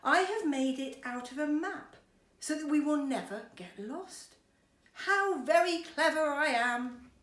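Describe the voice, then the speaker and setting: A woman's voice: high voice, expressive, a little slow, hardly any pauses, a bit bright, distant mic, pitched above the performer's own voice. A woman reading a children's storybook, voicing a proud, self-satisfied little man who boasts with emphasis. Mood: boastful. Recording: roomy echo with background noise.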